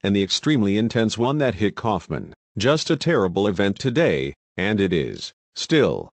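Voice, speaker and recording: Guy playing a worried male tv news anchor, low voice, close dry sound, breaking weather coverage. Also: very animated, moderate pace, no room sound. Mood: grave. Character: grave, alarmed male local TV news anchor.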